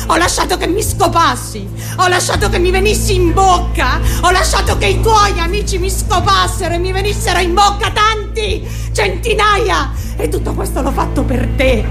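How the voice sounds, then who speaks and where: A woman's voice: very high-pitched, creaky. An actress playing a troubled, volatile young woman in a dramatic radio monologue, intense and raw as she recounts her traumatic story. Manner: intense, swinging between outcry and hushed narration.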